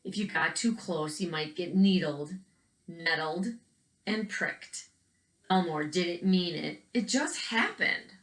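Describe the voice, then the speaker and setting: A woman with a fairly low voice reads a picture book aloud in an echoey room. She tells the story expressively and adds little asides for young listeners. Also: animated, a bit bright. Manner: pauses to comment on pictures as to young children. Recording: roomy, echoing indoor recording.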